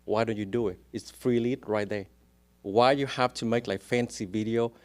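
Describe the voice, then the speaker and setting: Vietnamese-accented man, low voice. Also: steady tone, normal speed. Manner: earnest, upbeat, broken English phrasing. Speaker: real estate agent. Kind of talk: casual interview answers.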